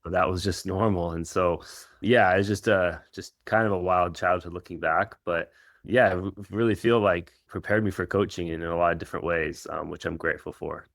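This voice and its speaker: A middle-aged man who grew up in Israel, Kenya and the United States, answering interview questions in an informal, reflective, halting way as a coach. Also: low voice, fairly even intonation, creaky, a bit bright, no echo, studio quality. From Israel and Kenya, United States from age 13. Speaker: professional soccer coach.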